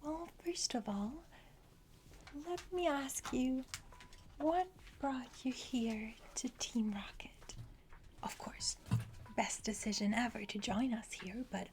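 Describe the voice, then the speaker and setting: Woman as whispering, slow-paced henchwoman. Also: fairly high pitch, a little mellow. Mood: confiding, dismissive. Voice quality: breathy whisper. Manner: self-important, hesitant, confiding. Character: boastful, eager young female criminal henchwoman. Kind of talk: ASMR roleplay, soft whispering. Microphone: close microphone.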